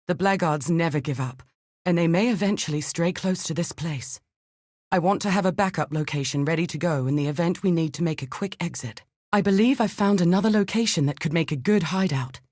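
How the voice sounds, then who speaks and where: A woman's voice: lowish voice, darkish tone, dry recording, studio quality. A woman playing an earnest, analytical female archaeologist and scientist in a video game, speaking precisely and formally. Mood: brisk, practical, then hopeful, practical. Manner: precise, formal, articulate, thoughtful. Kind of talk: scripted video game dialogue.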